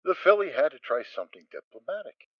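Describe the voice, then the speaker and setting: A man's voice: on the high side, sing-song, quick pace, no room sound, muffled recording. A man narrating a dramatic story as a scripted reading.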